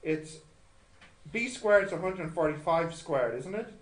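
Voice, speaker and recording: High-voiced man tutoring maths, echoey room. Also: lively intonation, unhurried. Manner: self-deprecating, asks checking questions. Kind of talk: informal tutorial, thinking aloud while working sums. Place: indoor tutorial room with students. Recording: echoey room with noticeable noise.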